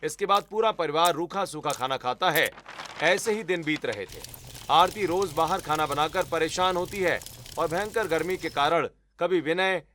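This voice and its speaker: Guy narrating a hindi story, voice on the higher side, some noise behind it.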